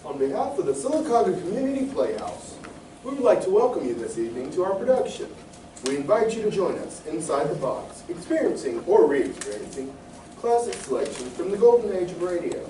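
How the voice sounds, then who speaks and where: Young man as high-voiced, swooping formal radio announcer. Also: big pitch swings, fairly quick, dark tone, recorded from a distance. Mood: formal, grandiose. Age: young adult. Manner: formal, long-winded. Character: long-winded, formal radio show announcer. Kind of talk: scripted comic stage announcement. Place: live stage in a community playhouse. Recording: echoey hall from afar, faint noise.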